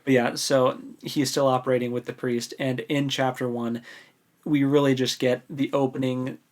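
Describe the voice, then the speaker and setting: A man's voice: medium pitch, flat delivery, moderate pace. A man speaking casually and informally as a podcast co-host in a book discussion, often rephrasing himself as he goes.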